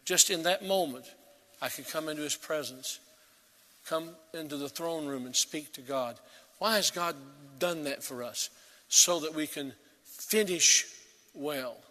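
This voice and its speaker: Pastor guy preaching, bright kinda high voice, reverby church hall. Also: a little slow. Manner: earnest, rising to emphatic peaks then dropping to soft. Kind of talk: sermon.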